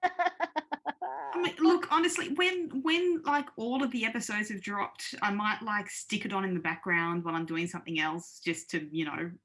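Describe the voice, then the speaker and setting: A woman's voice: mid-range voice, fairly even intonation, moderate pace, fairly bright tone. A woman on a podcast panel chatting casually, candid and informal in how she gives her view. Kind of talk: casual chat.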